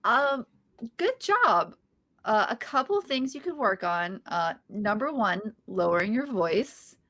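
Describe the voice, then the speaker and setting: A woman's voice: medium pitch, very animated, slow, bright, forceful tone, exaggerated voice. A woman reading the part of a quirky, upbeat young woman at a podcast table read, with a bubbly, playful manner. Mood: coaching.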